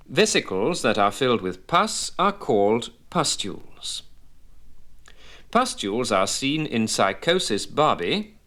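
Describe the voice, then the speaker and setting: Slow, lively-pitched male language instructor. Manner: deliberate, didactic enunciation with terms set apart. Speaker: medical English instructor. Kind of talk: scripted vocabulary lesson narration with repeat-after-me prompts.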